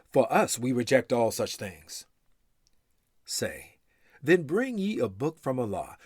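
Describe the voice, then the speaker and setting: A man reading scripture aloud in a solemn, formal and measured recitation style. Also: mid-range voice, slightly rough, dry recording, studio quality. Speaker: scripture reader.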